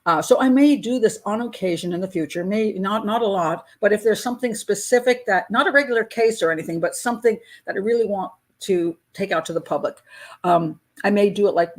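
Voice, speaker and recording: A woman's voice: on the low side, fast talker. A woman in her sixties hosting a casual live chat with her patrons, talking in a candid, chatty way. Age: 60s. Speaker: host of a crime-profiling channel.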